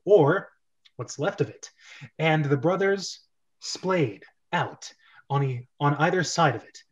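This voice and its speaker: A man hosting a casual, joking amateur script reading, halting and often correcting himself. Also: fairly high pitch, low-quality recording. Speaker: host and script reader.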